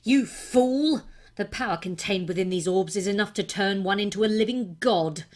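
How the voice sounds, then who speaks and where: A woman voicing an arrogant, power-hungry villain in a fantasy game, forceful and menacing. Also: medium pitch, moderate pace, natural voice. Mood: scornful, menacing.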